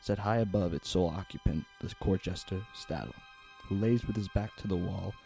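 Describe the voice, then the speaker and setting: Deep, mellow male narrator. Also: brisk, creaky. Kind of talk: scripted narration. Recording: faint music underneath.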